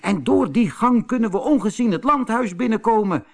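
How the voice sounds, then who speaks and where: A man's voice: high-pitched, expressive, normal speed, creaky, voice pushed up. A man voicing an eager, boastful little mole who exclaims bravely in a children's storybook. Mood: excited.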